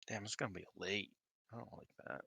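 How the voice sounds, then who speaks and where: Deep voiced podcast guy, sharp bright tone, blunt offhand chat, dry mic. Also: fairly even intonation, fairly quick, gravelly, breathy edge, dry recording. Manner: blunt and offhand, swears now and then. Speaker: podcast co-host. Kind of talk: casual wrestling podcast chat.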